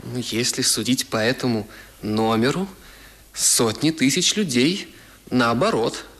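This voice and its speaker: An actor playing a polite, candid young English gentleman in a radio drama, answering with courteous hesitation. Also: medium pitch, a little slow. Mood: hesitant, dry. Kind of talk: Soviet 1970s radio drama acting.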